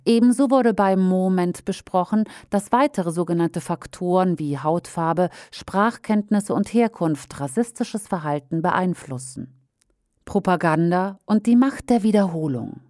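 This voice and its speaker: Woman, lowish voice, reads a critical commentary with pointed, measured emphasis, dry close sound. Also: expressive, mellow, dry recording. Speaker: reader of an opinion commentary. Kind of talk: read-aloud opinion commentary.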